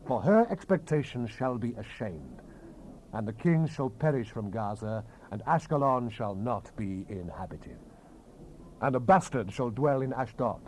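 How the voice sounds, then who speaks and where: A man's voice: mid-range voice, darkish tone, low-quality recording. Dramatic male scripture reader, widely swooping delivery. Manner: solemn, declamatory, theatrical delivery. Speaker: scripture narrator. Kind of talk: dramatized King James Bible reading. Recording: hissy 1970s cassette rip.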